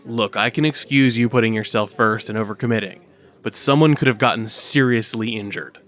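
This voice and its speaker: A man playing a brisk, exasperated superhero stage director in an audio drama, speaking with authority. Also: fairly low pitch, a bit bright, natural voice. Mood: angry.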